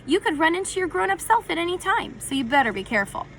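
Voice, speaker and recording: A woman voicing an excitable, quirky young boy in a storybook reading. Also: very high voice, quick pace, a little hiss or hum, voice pushed up, exaggerated voice. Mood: proudly excited.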